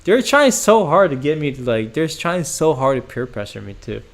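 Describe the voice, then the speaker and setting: Rambling young man with a gravelly voice. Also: a little high, big pitch swings, normal speed. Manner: rambling, lots of "like" and "bro", laughs often. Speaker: streamer. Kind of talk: casual livestream chat reacting to chat.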